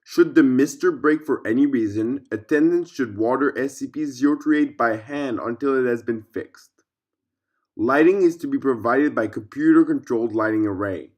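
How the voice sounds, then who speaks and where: A man's voice: medium pitch, a little mellow. Man narrating a formal case file. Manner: formal, measured read-aloud delivery.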